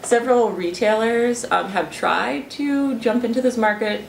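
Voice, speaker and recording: A woman's voice: fairly high pitch, a little slow, vocal fry, slight background noise. A young woman explaining her research in an interview, talking thoughtfully with many fillers and restarts. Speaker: student researcher. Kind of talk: interview talk. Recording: roomy and a little distant, faint ambience.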